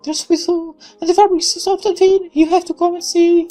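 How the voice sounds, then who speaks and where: Very high, spoiled girlish daughter. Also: flat delivery, fast talker, dark tone, soft background music. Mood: gushing. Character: spoiled, gushing young daughter of a military man.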